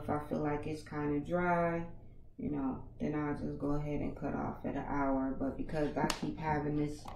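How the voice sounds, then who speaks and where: A woman in her thirties with a naturally raspy voice, hosting a casual livestream podcast from a small home studio on a headset mic, chatting loosely with viewers in slang. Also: deep voice, echoey room, noisy background. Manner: laid-back, slangy, talks to viewers in chat. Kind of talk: casual livestream chat reading comments. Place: small home studio with foam panels, indoors. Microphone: over-ear headset mic.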